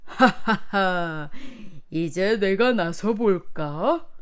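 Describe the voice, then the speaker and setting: A woman voicing a scheming, greedy male woodcutter from a children's fairy tale, with a theatrical, drawn-out delivery. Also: medium pitch, lively intonation, clean tone. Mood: smug. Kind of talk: children's storybook character acting.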